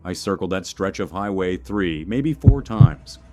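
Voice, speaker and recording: A man narrating a scripted first-person horror story in a measured, suspenseful manner. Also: low-pitched, animated, mellow, faint music behind.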